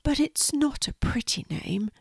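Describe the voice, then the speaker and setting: A woman voicing a tiny, timid male spider in a children's storybook, gentle and sad, with a plaintive, tentative delivery. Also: a little high, big pitch swings, unhurried, dry recording, voice pushed up, full character voice.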